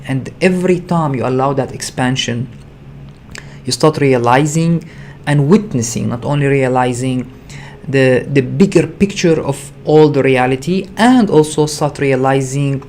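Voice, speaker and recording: A man's voice: a little high, darkish tone, a little hiss or hum. A man hosting a livestream as a spiritual teacher, talking unscripted in an earnest way, repeating and rephrasing his ideas with frequent hesitations. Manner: earnest, repetitive, searching phrasing with frequent hesitations.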